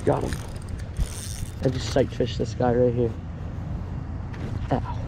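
A man's voice: medium pitch, level tone, takes it slowly, gravelly, noisy background. A young American man talking casually and slangily to viewers in an outdoor fishing vlog. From northern United States. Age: young adult. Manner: informal, slangy, addresses viewers. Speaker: fishing vlogger, host. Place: outdoors, lawns and lakeside. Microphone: handheld or body-worn action camera.

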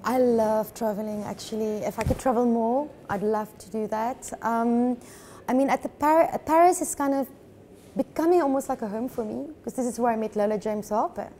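Mellow-voiced woman from South Africa. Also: on the high side, normal speed, a little hiss or hum. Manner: dreamy, laughs often, gushing. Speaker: musician guest. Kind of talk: relaxed interview chat. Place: studio lounge, indoors.

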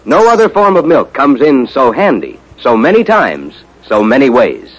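A man with an American accent, a polished, formal 1950s radio announcer delivering sponsor pitches. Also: wildly swooping pitch, moderate pace, poor audio. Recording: vintage 1950s radio broadcast.

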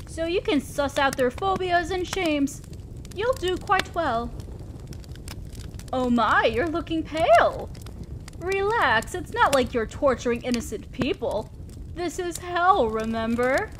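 A woman playing a haughty, sadistic female demon lord in a scripted audio roleplay, with a theatrical, playful, mock-polite manner. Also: high-pitched. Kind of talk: scripted ASMR-style roleplay addressed to listener. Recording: crackling fire noise behind voice. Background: crackling fire.

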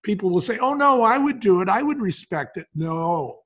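A man's voice: sits high, sing-song, moderate pace, a bit bright. An older man speaking conversationally as a business advisor in an interview, explaining things point by point with colloquial asides. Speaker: business advisor and podcast guest.

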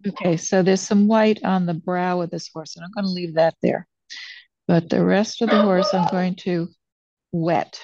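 An older woman teaching watercolor, thinking aloud as she demonstrates and pausing between phrases while she works. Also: on the low side, fairly even intonation, a little mellow, dry recording. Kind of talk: real-time demonstration narration, thinking aloud. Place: indoors at a painting table. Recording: dull, muffled lo-fi sound.

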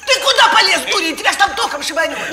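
An actress voicing a loud, scolding middle-aged village wife in a cartoon, given to colloquial exclamations. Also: female voice, extremely high pitch, animated, very fast, background noise. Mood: alarmed, scolding. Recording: loud music under the voice.

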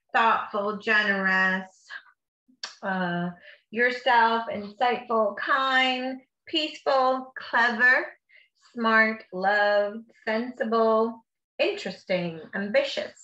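A woman's voice: mid-range voice, bright, forceful tone, highs cut off. A woman reading a picture book aloud to children like a teacher, with encouraging, simply worded asides in between. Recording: echoey room.

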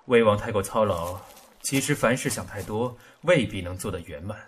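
An actor playing a composed, courteous and shrewd court official in a Chinese period audio drama. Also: male voice, lowish voice, lively intonation, slight background noise, natural voice. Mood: politely probing. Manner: courteous, measured, formal.

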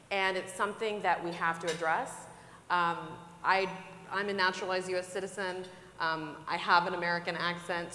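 Woman with lowish voice, earnest and firm on a panel, roomy echo. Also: sharp tone, slight background noise. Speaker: panelist, advocate. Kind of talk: conference panel discussion. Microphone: lapel mic.

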